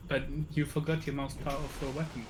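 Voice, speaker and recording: A man chatting casually as co-host of a game stream, teasing and dryly ironic, with halting phrasing. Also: medium pitch, little pitch movement, noisy background. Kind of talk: casual gameplay chat.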